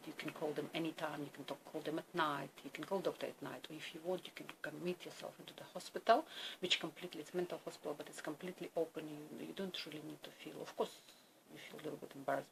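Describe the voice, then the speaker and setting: Gravelly, halting woman with a Ukrainian accent. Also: low voice, noisy background. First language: Russian. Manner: halting, hesitant, slow and searching for words. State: subdued and weary. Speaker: vlogger. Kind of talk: personal video diary.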